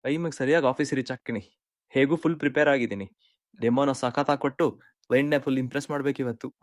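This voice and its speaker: A man's voice: medium pitch, steady tone, fast talker, no room sound, clean studio sound, lightly acted. A man voicing a kind-hearted, jobless young man in a cartoon story, earnest and reflective. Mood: confident. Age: young adult. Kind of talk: dramatic monologue and dialogue in cartoon story.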